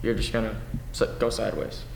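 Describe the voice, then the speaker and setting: A laid-back young man talks fast, with a gravelly edge to his voice, and gives mostly short replies. He is recorded from far off in a roomy garage, with a long echo and faint background noise. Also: lowish voice, flat delivery, a little mellow. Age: young adult. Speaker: young road racer, son of the host. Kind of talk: casual chat. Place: large garage workshop indoors. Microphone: far camera, no mic seen.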